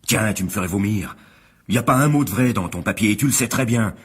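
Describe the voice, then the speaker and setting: A vain male film actor with wounded pride in a radio drama. The voice is low and husky, swinging widely in pitch. He is accusing, indignant and cutting. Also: animated, fairly quick. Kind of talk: French radio drama acting.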